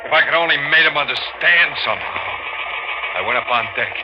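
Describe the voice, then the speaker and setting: An actor playing a guilt-haunted, murderous sailor in an agitated, melodramatic 1940s radio thriller style. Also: male voice, a little high, fast talker, over loud music, lightly acted. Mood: brooding narration. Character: frantic, guilt-haunted murderous sailor convinced a dead woman returned. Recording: noisy, dull 1940s radio recording.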